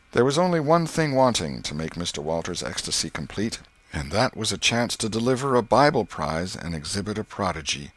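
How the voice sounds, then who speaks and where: Male audiobook narrator, low pitch, wry measured storytelling, very dry sound. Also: a bit bright, no room sound. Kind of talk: scripted literary storytelling.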